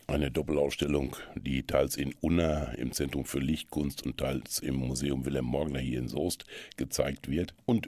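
A man presents cultural listings on the radio. His delivery is measured and explanatory, in long, considered phrases. The sound is dry and close, as from a studio microphone. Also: rumbling low, a little mellow, no room sound. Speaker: radio host presenting local culture events. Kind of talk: radio magazine moderation, event listings.

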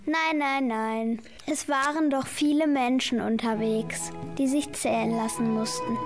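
Woman playing a high voiced christmas angel, patient, explains things carefully like reading a story for an amateur radio play. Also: fairly even intonation, measured pace, over music. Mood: explaining.

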